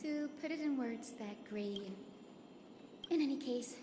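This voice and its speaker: A woman playing a gentle, earnest young priestess in a dramatic video game story. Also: sits high, unhurried, noisy background. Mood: thoughtful. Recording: echoey long reverb, loud game music behind.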